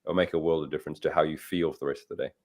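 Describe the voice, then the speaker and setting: A man from Africa, a fitness coach chatting on a radio show over a video call, brisk and encouraging. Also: a bass voice, fairly even intonation. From South Africa. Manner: brisk, encouraging, says "hundred percent" often. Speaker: fitness and nutrition coach guest.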